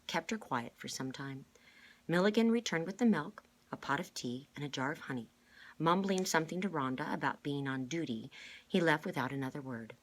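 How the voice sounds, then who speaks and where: Low, husky woman reading aloud. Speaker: reader. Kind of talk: storybook read-aloud.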